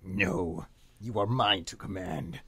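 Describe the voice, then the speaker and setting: A man voicing an evil, commanding demon disguised as a lawn flamingo in a radio play, low and raspy. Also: slow pace, sharp tone, full character voice. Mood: menacing command. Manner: menacing, commanding.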